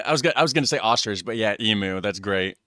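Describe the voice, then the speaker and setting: A man's voice: fairly low pitch, expressive, fast talker, bright, forceful tone, a little hiss or hum. An American man hosting a reaction video, chatting casually and thinking aloud as he reacts to clips. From United States. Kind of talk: casual commentary.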